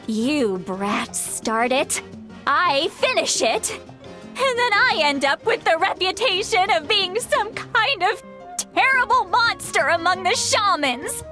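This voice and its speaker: Bright, high-pitched tipsy shaman granny. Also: sing-song, takes it slowly, soft background music, full character voice. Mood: tipsy indignant. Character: tipsy, grumpy young-looking shaman granny. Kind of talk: anime game voice acting.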